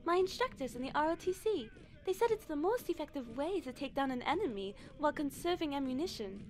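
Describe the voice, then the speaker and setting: A woman's voice: high-pitched, big pitch swings, fairly quick, a little mellow. A woman playing an earnest, eager young military analyst in an audio drama, precise and deferential. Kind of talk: scripted audio drama acting.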